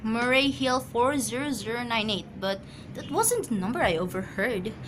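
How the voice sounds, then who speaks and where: A woman's voice: fairly high pitch, expressive, normal speed, fairly bright tone, slight background noise, rough recording. A woman acting an anxious, imperious, easily flustered wife in an amateur radio drama, agitated and stammering.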